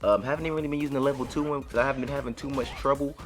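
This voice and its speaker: A man's voice: medium pitch, steady tone, racing. A man giving casual, conversational gameplay commentary full of informal slang. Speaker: gaming video creator. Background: game music.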